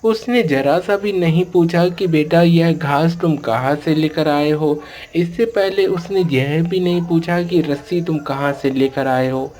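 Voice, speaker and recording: Slow-paced woman storyteller. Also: low-pitched, darkish tone. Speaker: narrator. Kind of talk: cartoon storytelling narration.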